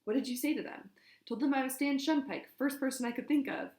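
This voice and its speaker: A woman reading a storybook, voicing a defensive teenage boy who shouts back and talks fast. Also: sits high, roomy sound, voice pushed up.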